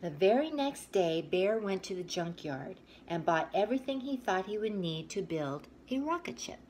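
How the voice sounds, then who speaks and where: A woman's voice: medium pitch, slight background noise. A woman teacher reading a children's picture book aloud expressively, stepping out of the story to talk to young listeners. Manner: expressive, pausing to ask listeners questions.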